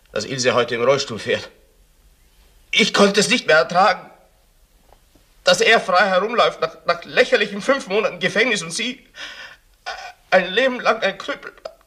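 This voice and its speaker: An actor playing a bitter, grieving middle-aged father in a German crime radio play, speaking haltingly with emotion. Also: medium pitch, pushed and bright, husky, exaggerated voice. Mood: anguished outburst. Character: bitter, grieving father who confessed to killing.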